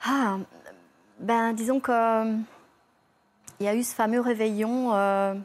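A woman, a guest on a television talk show, telling a personal story in a lively way, with frequent fillers. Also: a little high, a little slow. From Belgium. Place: television studio.

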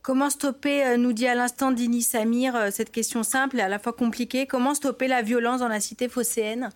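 A woman hosting a live talk show who reads out viewer questions and cuts in briskly on her guests. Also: on the high side, steady tone. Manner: brisk, interjecting. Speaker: TV host.

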